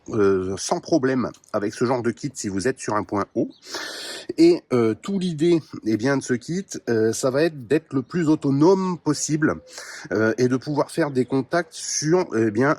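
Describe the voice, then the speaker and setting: A man casually presenting his radio kit to viewers, talking in a relaxed way with many pauses and fillers. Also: mid-range voice. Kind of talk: casual hands-on gear demo.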